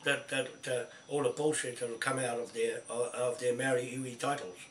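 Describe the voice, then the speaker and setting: An older man from New Zealand with a croaky voice and a cough, chatting on a speakerphone in a confident, repetitive way. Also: medium pitch, flat delivery, a little fast. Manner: repetitive, confident, starts and restarts. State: hoarse, coughing. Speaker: group organiser on a phone call. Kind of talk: casual phone chat. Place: cluttered indoor room. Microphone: camera across the room, talking on speakerphone. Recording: roomy, distant, faint noise.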